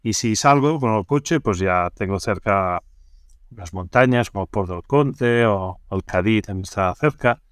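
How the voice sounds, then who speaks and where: Catalan guy from barcelona, lowish voice, podcast guest chatting casually, keeps adding little tag questions, dry mic. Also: unhurried, smooth, dry recording. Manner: frequently ends phrases with checking tags. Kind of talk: casual interview chat.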